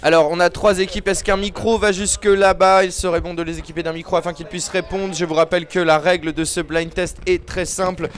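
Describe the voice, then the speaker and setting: A man hosting a music quiz with lively banter, in a mock-formal, teasing manner. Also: high voice, a little fast, hardly any pauses. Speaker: game show host. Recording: loud music behind the voice.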